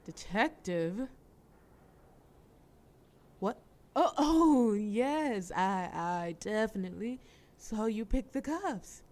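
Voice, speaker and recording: A woman's voice: mid-range voice, lively intonation, takes it slowly, pauses often, darkish tone. A woman playing a sly, flirtatious mafia boss in an audio roleplay, with a wry, teasing, formal way of talking. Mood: urgent, flustered. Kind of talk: scripted audio roleplay acting.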